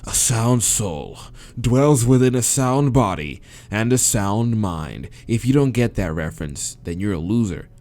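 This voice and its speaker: A man's voice: lowish voice, lively intonation, measured pace, a little mellow. A man narrating a visual novel aloud as a let's-play host, casual and crude, sometimes stumbling over his words. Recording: music behind the voice. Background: game music.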